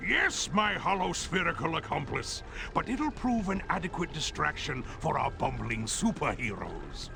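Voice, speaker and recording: Music runs behind a scheming cartoon supervillain. His voice is creaky and pitched high, sweeping about in pompous, grandiloquent phrases. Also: very animated, unhurried, heavily acted. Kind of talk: cartoon game voice acting.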